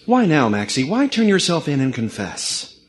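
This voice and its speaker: A man's voice: fairly high pitch, wildly swooping pitch, moderate pace. A man voicing a formal, showy television news reporter in a radio drama. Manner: announcing, showy. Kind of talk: newsreader parody in radio drama.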